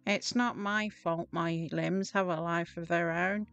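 A woman's voice: on the low side, steady tone, measured pace, a bit bright, clean tone, no echo, faint music behind. A woman voicing an eager, lonely, hapless male patchwork cat from a storybook, earnest and pleading. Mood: glum.

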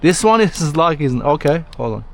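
Lively Turkish-accented man, some background noise. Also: on the high side, moderate pace, vocal fry. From Turkey. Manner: informal, repeats himself in broken English. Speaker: locksmith. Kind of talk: casual chat with a customer. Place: on a job at a customer's vehicle. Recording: noisy on-the-job recording near vehicles.

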